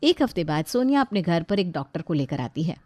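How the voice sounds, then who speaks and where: A woman's voice: lowish voice, sing-song, fairly quick, a little mellow, dry recording. A woman telling a scripted family moral story as its narrator.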